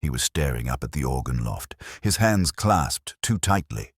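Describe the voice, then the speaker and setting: Male actor as brooding inspector narrating a detective drama: extremely low voice, expressive intonation, measured delivery. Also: husky, no room sound, studio quality, heavily acted. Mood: somber, reflective. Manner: measured, literary, reflective. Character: brooding male police inspector recounting a murder case. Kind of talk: first-person scripted detective story narration.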